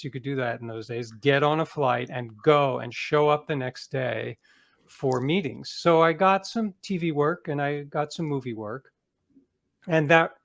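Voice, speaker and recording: A man's voice: on the high side, fairly bright tone. Middle-aged man telling casual career anecdotes. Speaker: author, interview guest.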